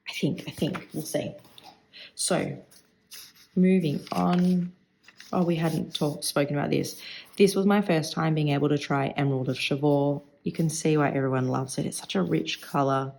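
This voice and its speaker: Croaky woman, heavy vocal fry, casual rambling chat, thinking aloud. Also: deep voice, a little mellow, low-quality recording. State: croaky voice today. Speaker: stationery vlogger.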